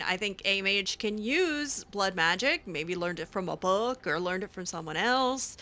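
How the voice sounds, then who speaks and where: Bright-voiced woman improvising in character. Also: mid-range voice. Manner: hesitant, informal, conversational. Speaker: gamer role-playing her own character. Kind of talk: improvised in-character chat over gameplay.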